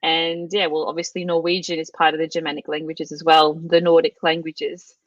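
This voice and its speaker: Australian woman, chatty, low voice. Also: level tone, a little fast, no room sound, highs cut off. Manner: chatty, many fillers and restarts. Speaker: guest, language course creator. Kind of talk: casual interview chat.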